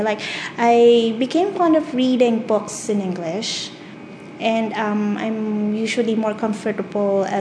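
A woman in her twenties with a Filipino accent, whose first language is Tagalog. She reads a story carefully, then talks about herself in measured speech with frequent "um" fillers. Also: medium pitch, background noise. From Philippines. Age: 20s. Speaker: dialect archive speaker. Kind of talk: reading a passage, then casual self-description.

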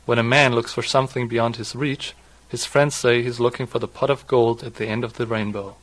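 A man in his twenties from southwest Germany, a native German speaker with a German accent, speaking in a halting, explanatory way. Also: medium pitch, fairly even intonation, fairly bright tone, poor audio. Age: 20s. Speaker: interviewee, doctoral student. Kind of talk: read passage, then unscripted personal account.

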